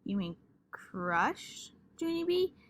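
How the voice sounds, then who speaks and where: A woman voicing a puzzled, earnest little schoolboy who asks slow, questioning corrections. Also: fairly high pitch, big pitch swings, full character voice.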